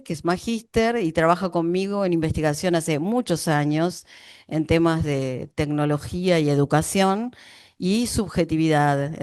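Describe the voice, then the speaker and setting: A woman's voice: lowish voice, a little mellow, clean tone, dry recording, clean studio sound. A woman coordinating an academic symposium, introducing the speakers in a chatty, informal way with frequent fillers.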